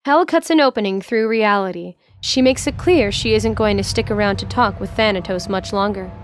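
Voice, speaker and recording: Woman narrating, medium-pitched voice. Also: normal speed, a little hiss or hum. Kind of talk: scripted narration of a fantasy audio drama.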